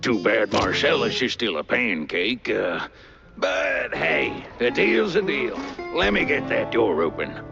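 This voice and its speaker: A man playing a dim, chatty cartoon game character with a gravelly voice and a rambling, stammering manner. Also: medium pitch, very animated, takes it slowly, highs cut off, over music, full character voice. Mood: chummy. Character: dim, chatty male cartoon henchman type.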